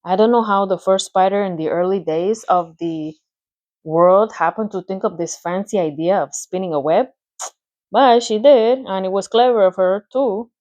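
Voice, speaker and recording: A woman's voice: mid-range voice, normal speed, darkish tone, highs cut off, lightly acted. A woman reading a storybook aloud, voicing a clever, matter-of-fact female spider in a pleasant, thin voice with a put-on accent. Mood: lecturing. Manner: put-on accent, relishing, lecturing.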